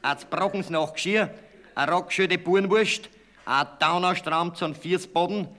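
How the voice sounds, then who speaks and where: A man reciting a rhythmic list of items in a crisp, brisk way. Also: high-pitched, sharp tone.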